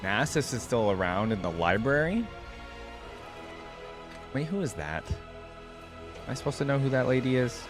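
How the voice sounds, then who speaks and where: A man's voice: mid-range voice, sing-song, moderate pace, pauses often, over music. A man giving casual, informal reaction commentary as the host of a gaming channel, thinking aloud as he goes.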